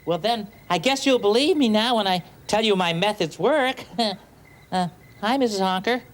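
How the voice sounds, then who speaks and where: A man voicing a gentle, childlike talking bird who rambles soothingly and hesitantly as host of a children's puppet show. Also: high-pitched, normal speed, natural voice. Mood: eager, flustered. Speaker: host giving bedtime tips. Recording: clearly noisy home video soundtrack.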